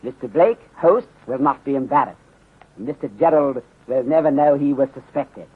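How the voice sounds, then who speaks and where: A muffled, narrow-band 1930s radio recording carries a man playing a shrewd, courteous middle-aged Chinese detective. His husky voice is measured and formal, with clipped sentences that drop their articles. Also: mid-range voice, natural voice. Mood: calm, methodical. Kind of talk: 1930s radio mystery acting.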